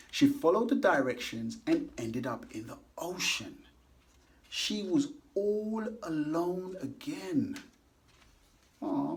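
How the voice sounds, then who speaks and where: A man reading a picture book aloud to children, animated and coaxing, stopping to ask the kids questions. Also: fairly high pitch. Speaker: children's story reader.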